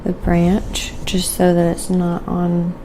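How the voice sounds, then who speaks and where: A woman hosting a quiet ASMR painting livestream, giving an unhurried, murmured running commentary on her own work and chatting with viewers. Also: fairly low pitch, completely flat delivery, background noise. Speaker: live-streaming painter and host.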